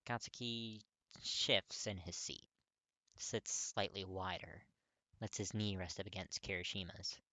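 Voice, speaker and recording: A man reading fiction aloud as scripted, expressive story narration. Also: low voice, a little slow, no room sound. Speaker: audiobook-style fan fiction reader.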